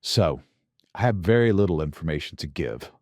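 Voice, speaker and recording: An actor playing a corrupt, calculating intelligence director in a radio drama, speaking in measured, deliberate phrases. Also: male voice, low voice, animated, a little mellow, no echo, clean studio sound. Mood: calculating, grave. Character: corrupt CIA director, calculating, secretly villainous man. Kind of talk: radio drama dialogue on a phone call.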